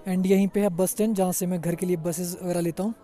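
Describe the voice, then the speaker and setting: Brief: young man vlogging outdoors, high voice but mellow tone, easygoing and chatty. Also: flat delivery, fast, soft background music. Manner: chatty, says "yaar" a lot, mixes in English. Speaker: travel vlogger. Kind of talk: casual walking tour commentary. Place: outdoors on a busy hill-town street.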